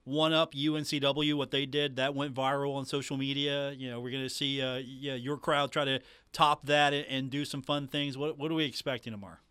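A man's voice: medium pitch, little pitch movement, fairly quick, pushed and bright, clean tone, no echo, studio quality. A man hosting a sports radio show, talking conversationally as he interviews a guest.

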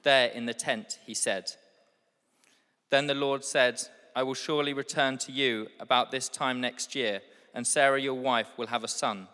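A man reading a scripture passage aloud at a steady, measured pace. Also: mid-range voice. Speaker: scripture reader. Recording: hall with long reverb.

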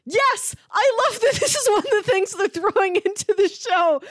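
A woman co-hosting a casual pop culture podcast, talking like an excitable, gushing fan. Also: very high-pitched, normal speed, no room sound, studio quality. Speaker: podcast co-host.

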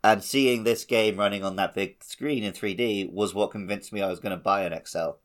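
A man's voice: fairly low pitch, fairly even intonation, brisk. A man hosting a casual gaming podcast, talking in a conversational way. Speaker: podcast host.

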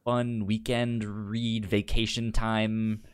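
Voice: medium pitch, little pitch movement, takes it slowly, clear, resonant tone. A podcast co-host chatting casually about films, often stammering and repeating words and syllables. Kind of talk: casual film podcast chat.

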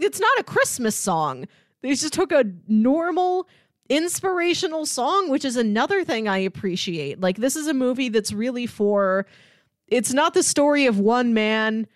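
A woman's voice: high-pitched, no room sound, studio quality. A woman co-hosting a podcast, talking about film casually and enthusiastically, with chuckles as she goes.

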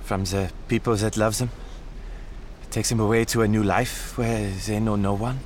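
An actor playing a troubled, tender father in an audio drama, speaking in a hesitant, halting way. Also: fairly low pitch, steady tone, darkish tone, a little hiss or hum, lightly acted. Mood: anguished. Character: troubled, tender father emigrating with his young children.

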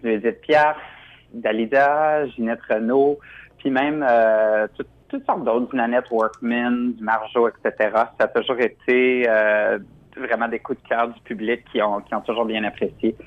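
A man's voice: mid-range voice, steady tone, measured pace. A man, a drag performer from Quebec, chatting casually in a phone interview with many fillers and restarts. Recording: muffled phone line.

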